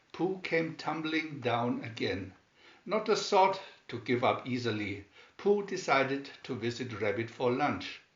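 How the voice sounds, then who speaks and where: A man reading a children's story aloud in a deliberate, expressive way, with emphatic swoops on key words. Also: medium pitch. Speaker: storybook reader. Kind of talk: scripted children's story narration, read aloud slowly and expressively. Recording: dull, roomy home recording.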